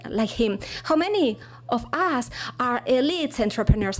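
A woman giving a live spoken interpretation of a keynote talk, halting and full of fillers. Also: sits high. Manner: halting, with fillers and frequent restarts. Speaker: simultaneous interpreter.

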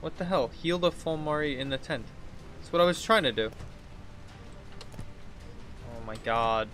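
A man's voice: on the high side, lively intonation, measured pace, a bit bright, soft background music. A man in his thirties giving casual, rambling game commentary, talking to himself and reading quest text aloud. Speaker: gaming video host. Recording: clear background noise, game ambience.

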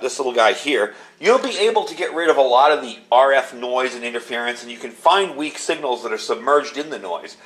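A man's voice: mid-range voice, a bit bright. Middle-aged man, mid-range voice, chatty, informal, explanatory, casual hands-on product walkthrough, indoor workbench. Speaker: video host demonstrating gear.

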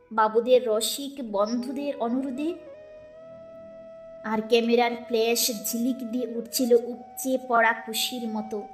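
A woman with a fairly high voice recites verse in a dramatic style over soft background music. Her delivery is very slow and expressive, measured out with deliberate pauses. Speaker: poetry reciter. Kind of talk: dramatic Bengali poem recitation.

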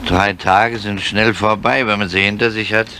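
An actor playing a dry, laconic friend in a 1970s radio play, speaking tersely. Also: male voice, fairly low pitch, fairly even intonation, moderate pace, bright, forceful tone. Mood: dry, wry. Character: dry, laconic male confidant. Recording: noisy old radio play recording.

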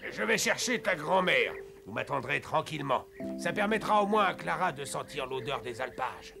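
A man voicing a concerned, kindly old mountain grandfather in a French cartoon dub, with a grave, measured manner. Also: fairly high pitch, expressive, vocal fry. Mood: regretful, gentle. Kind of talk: French animated film dub. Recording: music behind the voice.

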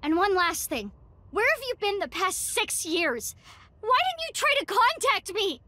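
A woman's voice: very high-pitched, big pitch swings, measured pace, pushed and bright, dry recording, exaggerated voice. A woman playing a feisty, impatient teenage girl investigator in an anime-style video game, speaking in a blunt, exclamatory way. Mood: flustered, demanding. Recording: music behind the voice.

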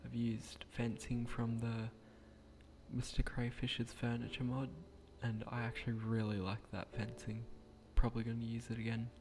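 A young man talks quietly and a bit hesitantly, restarting his sentences as he narrates a game build. His voice is croaky and gravelly, mellow in tone and fairly flat in pitch. A faint hiss sits under the recording. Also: moderate pace. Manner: hesitant, rambling, with frequent restarts; speaking quietly at night. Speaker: gaming channel creator.

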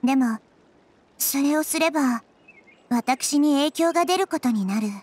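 A woman voicing a small, wise girl goddess in a game, speaking softly and with composure. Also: high voice, unhurried. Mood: calm. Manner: soft, composed, explanatory. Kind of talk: anime game voice acting.

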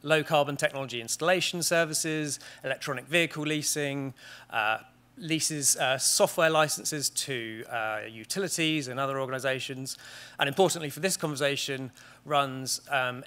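A British man in his thirties giving a conference talk in a large wood-panelled auditorium, measured and steady, with frequent ums and restarts. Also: mid-range voice. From Great Britain. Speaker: conference speaker. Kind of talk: conference presentation.

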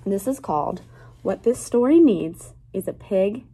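A woman in her twenties, a teacher reading a picture book aloud to young children in a deliberate, child-directed way. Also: medium pitch, animated, warm tone, slightly rough, poor audio. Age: 20s. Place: small classroom, indoors.

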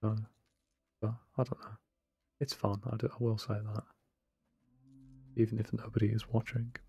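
Young man for a relaxed let's play, soft-spoken and self-deprecating, flat and mellow. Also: on the low side, moderate pace, gravelly, breathy edge. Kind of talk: casual late-night gameplay commentary. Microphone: close desk microphone, no webcam.

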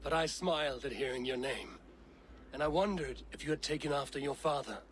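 An actor playing a loyal, teasing Viking warrior and old friend in a video game cutscene. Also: male voice, medium pitch, a little fast, a little hiss or hum, close to an everyday voice. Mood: earnest, caring.

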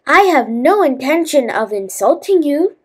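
A woman's voice: high-pitched, sing-song, normal speed, voice pushed up. A woman voicing a proud, curious male elephant king in a children's fable, exclaiming and easily alarmed. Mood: alarmed, sorry.

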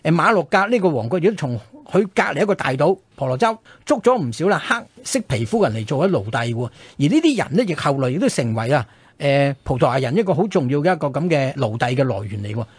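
A male radio co-host with a Hong Kong Cantonese accent recounts history in a conversational way. His voice sits a little high and is husky, and he talks rapidly. The sound is dry and close. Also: big pitch swings, no echo.

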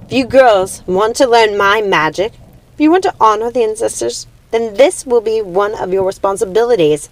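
A woman's voice: medium pitch, moderate pace, pitched above the performer's own voice, close to an everyday voice, rough recording. Woman acting a menacing, imperious witch queen mother. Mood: scornful, stern. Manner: commanding, theatrical.

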